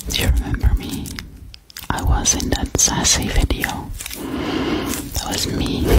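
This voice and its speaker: Female ASMR host, young adult, close-mic whispering, unhurried and repetitive, soft personal-attention delivery. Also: low-quality recording. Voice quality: breathy, unvoiced whisper. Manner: slow, repeats phrases softly. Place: small room at home, indoors. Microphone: close desktop condenser microphone.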